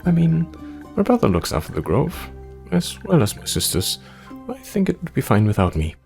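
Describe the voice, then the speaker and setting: A man's voice: a little high, wildly swooping pitch, normal speed, gravelly, a little mellow, faint music behind, pitched above the performer's own voice, full character voice. A man voicing a young forest ranger in a fantasy game, dreamy, earnest and yearning for adventure.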